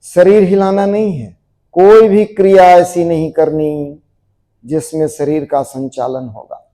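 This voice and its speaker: A man guiding a group through yoga relaxation in a measured, repetitive, instructing manner. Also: fairly high pitch, little pitch movement, dark tone. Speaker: yoga nidra teacher.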